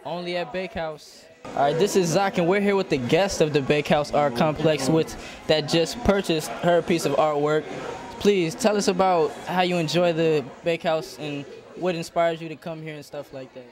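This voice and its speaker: A young man interviewing artists at an outdoor art event, asking friendly, halting questions. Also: fairly high pitch, steady tone. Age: young adult. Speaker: student interviewer. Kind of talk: casual on-the-spot interview. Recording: crowd chatter and music behind.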